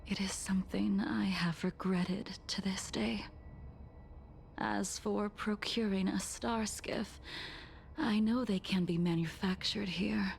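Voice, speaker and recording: An actress voicing a solemn, composed, sorrowful swordswoman in a video game, with a breathy, hushed voice and measured, formal speech. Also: mid-range voice, steady tone, slight background noise. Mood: solemn, regretful. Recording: faint game music behind.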